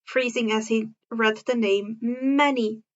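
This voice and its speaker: A woman reading fan fiction aloud as an expressive story narrator. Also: on the high side, slow, lo-fi sound.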